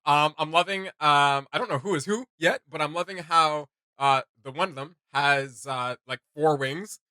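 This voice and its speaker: A man co-hosting a reaction video, chatty and excitable, rambling with many restarts. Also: on the high side, normal speed, clear, resonant tone, no echo. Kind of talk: casual reaction chat.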